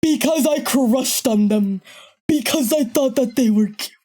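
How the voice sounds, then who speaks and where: Young woman, kinda deep voice, rambly podcast storytelling, laughs and swears a lot. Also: a little slow, warm tone. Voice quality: deeper voice. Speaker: podcast guest. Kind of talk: casual storytelling chat.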